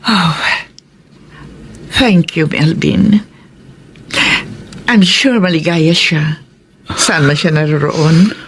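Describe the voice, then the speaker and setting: Kindly older mother, very slow halting delivery, lowish voice, Filipino accent, tender, faint noise, radio drama. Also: exaggerated voice. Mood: touched. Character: kindly, deeply touched motherly older woman.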